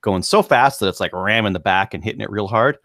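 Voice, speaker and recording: A man's voice: lowish voice, a little fast, a bit bright, dry recording. A man co-hosting a podcast chat, explaining things plainly with dry humor. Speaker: podcast co-host. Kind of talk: casual chat.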